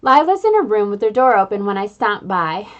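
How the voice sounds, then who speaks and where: A woman reading a children's book aloud in an expressive, playful way, adding little sound effects. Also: medium pitch, a little fast, lo-fi sound. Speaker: reader.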